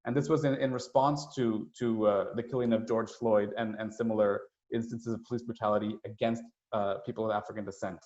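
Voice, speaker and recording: A man giving an explanatory, conversational slide presentation as a webinar speaker, with a halting delivery full of hesitations and asides. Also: fairly low pitch, fairly even intonation, darkish tone. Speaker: United Nations staff speaker presenting a webinar. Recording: roomy, dull webinar audio.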